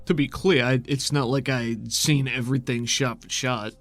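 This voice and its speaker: A man's voice: mid-range voice, steady tone, normal speed, a bit bright. Folksy man roleplaying, music behind. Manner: folksy, casual phrasing. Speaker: game master of a tabletop role-playing show. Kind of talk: improvised in-character roleplay with narration.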